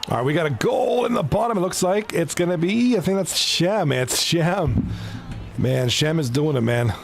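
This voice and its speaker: Gravelly-voiced man on commentary. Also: on the high side, animated, normal speed, a little hiss or hum. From Canada (Toronto). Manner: casual, chatty, talks to viewers by name. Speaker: streamer and league commentator. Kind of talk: live esports hockey commentary and chat.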